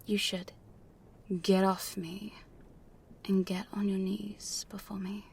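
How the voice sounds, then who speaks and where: A woman's voice: medium pitch, little pitch movement, darkish tone, slight background noise. A woman playing a teasing, domineering female vampire hunter in a mostly whispered, seductive ASMR roleplay. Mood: seductive, commanding. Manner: slow, breathy, seductive, commanding. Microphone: close studio condenser microphone.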